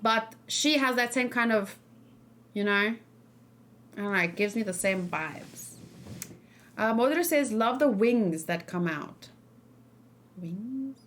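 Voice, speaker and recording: A woman's voice: on the high side, lively intonation, slow pace, long pauses, a bit bright, slight background noise. A woman hosting a casual livestream discussion, rambling and hesitant as she thinks aloud and talks with her viewers. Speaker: streamer host.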